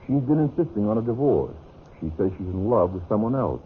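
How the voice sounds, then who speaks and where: A man's voice: lowish voice, big pitch swings, a little fast. A man playing a wronged husband and genial but pointed business partner in a vintage radio drama. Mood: grave, accusing. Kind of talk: 1950s radio drama acting. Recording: muffled, hissy old radio recording.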